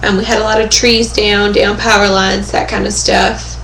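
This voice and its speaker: Hoarse young woman on a roomy webcam. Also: mid-range voice, level tone, moderate pace, a bit bright, slight background noise. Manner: chatty, many fillers and throat clearing. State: hoarse, losing her voice. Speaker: vlogger. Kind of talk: casual webcam update. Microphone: webcam microphone. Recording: echoey room on a webcam.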